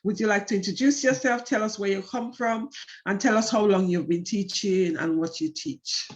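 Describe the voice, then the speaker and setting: A woman's voice: mid-range voice, normal speed, dull audio. A woman hosting an online show for educators, welcoming her guest with emphatic repetition. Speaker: host.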